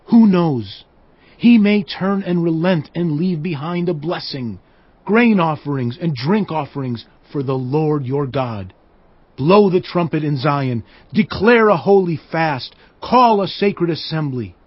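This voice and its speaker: A man's voice: on the high side, expressive, unhurried, husky, no echo. A man narrating dramatized scripture with earnest, declamatory phrasing. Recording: dull lo-fi audio. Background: faint background music.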